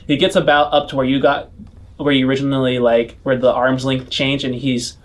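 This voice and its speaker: A man acting as game master, improvising scene descriptions in a halting way and rephrasing as he goes. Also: medium pitch, flat delivery. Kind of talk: improvised tabletop game narration.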